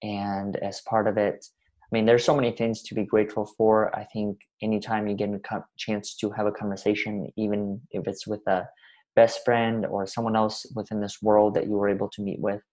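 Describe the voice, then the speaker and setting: A man's voice: fairly low pitch, level tone, brisk, darkish tone, low-quality recording. A man in his twenties, originally from Russia, talking earnestly in long, winding sentences as a podcast guest. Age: 20s. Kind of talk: reflective interview talk.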